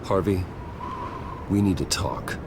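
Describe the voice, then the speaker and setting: An actor playing a brooding, determined wealthy vigilante, speaking in a terse, grave, controlled way in a video game drama. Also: male voice, low voice, lively intonation, takes it slowly, background noise. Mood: tense, reproachful.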